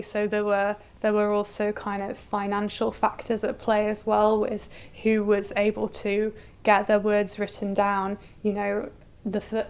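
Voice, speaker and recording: A woman speaks in a clear, smooth voice that keeps a level pitch. She is an exhibition curator in an interview, explaining things in an articulate, informative way. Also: darkish tone.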